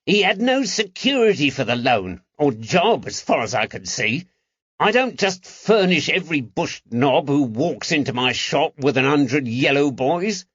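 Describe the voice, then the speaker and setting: Whining high-pitched Cockney moneylender. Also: male voice, big pitch swings, unhurried, a bit bright, slightly rough, dry recording, highs cut off, full character voice. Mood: defensive, wheedling. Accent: London Cockney. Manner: whining, pleading, defensive. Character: snivelling, indignant Cockney moneylender man, a whining crook. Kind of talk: audiobook character acting.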